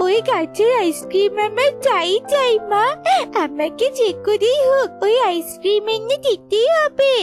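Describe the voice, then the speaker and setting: Lady doing a bratty baby crow, super high whiny voice, bossy cartoon kid, music playing. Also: animated, a little slow. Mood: whining. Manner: whiny, demanding. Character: bratty, demanding young crow chick.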